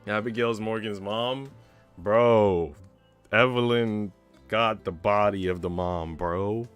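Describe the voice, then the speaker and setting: A man's voice: fairly low pitch, slow, smooth. A man streaming a visual novel, mixing slangy, casual reactions with reading the narration aloud. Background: game music.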